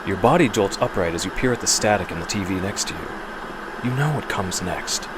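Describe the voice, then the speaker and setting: A man's voice: low voice, expressive, normal speed, background noise. A man delivering suspenseful, theatrical scripted narration as the storyteller of a horror audio drama.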